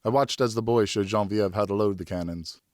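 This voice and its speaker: A man's voice: fairly low pitch, brisk, darkish tone, no room sound, clean studio sound. A man reading a novel aloud as an audiobook narrator, in scripted first person.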